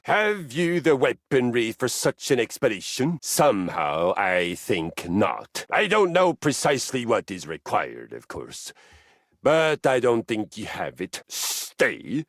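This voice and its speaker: An actor playing a boastful, violent, lusty Viking warrior in a video game, with grandiose, theatrical, archaic phrasing. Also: male voice, mid-range voice, sing-song, slow pace, vocal fry, a bit bright, dry recording, studio quality, exaggerated voice. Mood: menacing, haughty. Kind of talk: video game character acting.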